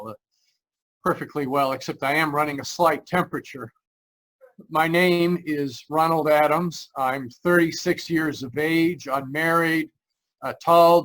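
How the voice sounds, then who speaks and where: High-pitched, earnest man acted in radio drama. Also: a bit bright, poor audio. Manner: urgent, halting, nervous. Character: anxious man in his thirties recounting a terror, insisting he is sane. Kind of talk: old-time radio drama acting.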